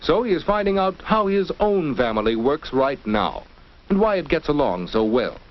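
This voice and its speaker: A man's voice: on the high side, very animated. American male narrator, 1950s instructional style, didactic, very varied intonation, muffled vintage soundtrack. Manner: didactic, measured phrasing. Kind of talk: 1950s educational film narration, scripted.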